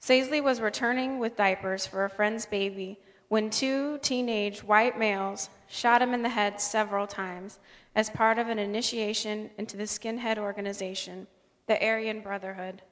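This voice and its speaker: A woman's voice: mid-range voice, fairly even intonation, normal speed. Earnest woman reading formally in a resonating hall. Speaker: speaker at a convocation. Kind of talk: scripted formal speech. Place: large indoor hall. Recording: reverberant hall.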